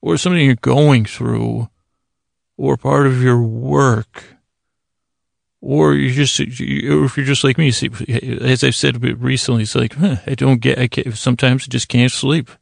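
An American man hosting a sleep podcast with a rambling, improvised bedtime monologue, halting often and interrupting himself as he wanders into tangents. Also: medium pitch, fairly even intonation, creaky, no echo, clean studio sound. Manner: halting, meandering, self-interrupting with frequent tangents and pauses. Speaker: podcast host.

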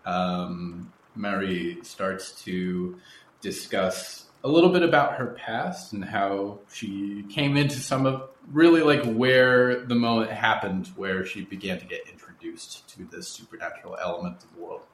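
A man hosting a conversational interview, speaking in thoughtful, measured, articulate phrases. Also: on the low side, lively intonation. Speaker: interviewer, podcast host. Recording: roomy echo with background noise.

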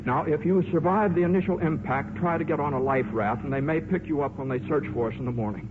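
An actor playing a shy, anxious aviation expert who speaks in a hesitant, earnest stammer in a 1950s radio drama. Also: male voice, fairly high pitch, fast talker, close to an everyday voice. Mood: nervous, earnest. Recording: noisy, tinny 1950s radio broadcast.

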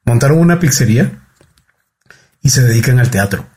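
A male podcast host with a dark, mellow tone and a slightly husky edge. He reads his guest's introduction in a measured, formal way, then moves into easy conversation. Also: mid-range voice, expressive. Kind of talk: reading an introduction, then chatting.

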